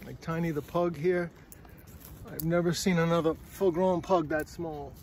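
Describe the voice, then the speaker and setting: A middle-aged man with a fairly high, gravelly voice, rambling casually as he walks a forest path. He is a little out of breath and keeps checking in with "all right?" There is faint wind noise on the phone recording. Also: fairly even intonation, normal speed, darkish tone. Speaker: vlogger. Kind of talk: casual walking vlog. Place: windy forest trail outdoors. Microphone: phone at arm's length.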